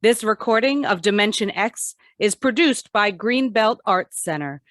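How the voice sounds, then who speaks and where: Female announcer for vintage-style radio drama, measured slow read, dry sound. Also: medium pitch, animated, fairly bright tone, no echo. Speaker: host and announcer. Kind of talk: scripted old-time radio closing announcement.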